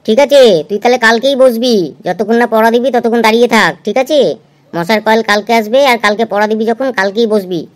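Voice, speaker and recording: High-voiced stern schoolteacher, acted by a woman. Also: a little fast, slight background noise, lightly acted. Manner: scolding, lecturing. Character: stern, exasperated village schoolteacher scolding lazy pupils. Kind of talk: amateur cartoon dub.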